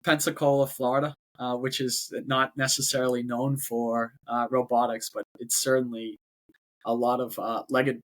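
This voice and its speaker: A man's voice: medium pitch, flat delivery, moderate pace. A man with a Boston accent, a company head talking as a guest in a casual interview, often repeating words before going on. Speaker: robotics company CEO, guest.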